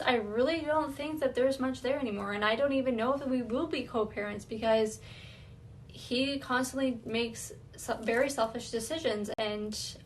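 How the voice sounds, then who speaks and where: A woman's voice: fairly high pitch, a bit bright, a little hiss or hum. A woman giving an earnest, reflective self-awareness talk, thinking aloud as she goes. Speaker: wellness vlogger.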